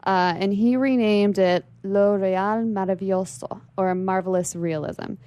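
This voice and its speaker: A woman's voice: mid-range voice, steady tone, measured pace, mellow, smooth, no echo. A woman speaking as an interview guest in a literary radio discussion, articulate and bookish.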